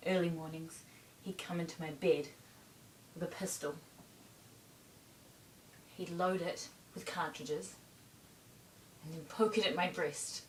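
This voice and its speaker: A woman performing a stage monologue as a troubled young bohemian runaway, confessing in a deliberate, haunted manner with pauses. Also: fairly even intonation. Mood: haunted, recounting. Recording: roomy, distant mic, faint rumble.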